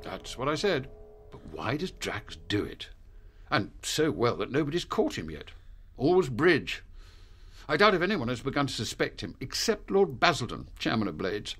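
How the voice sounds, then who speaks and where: An actor playing an authoritative, gruff British spy chief in a radio drama, clipped and commanding. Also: male voice, mid-range voice, big pitch swings, normal speed, a bit bright, faint music behind, exaggerated voice. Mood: confiding.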